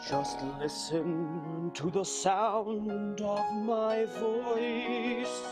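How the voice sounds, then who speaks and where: An actor playing a gentle strongman in an improvised radio soap, crooning soothingly to animals over music. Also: mid-range voice, fairly even intonation, drawn-out words. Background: loud music.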